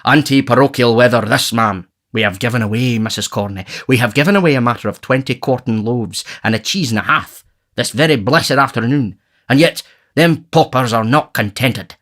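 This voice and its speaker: A man voicing a pompous, self-important middle-aged parish beadle in an audiobook, lecturing loudly. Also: medium pitch, normal speed, natural voice. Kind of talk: audiobook character voice.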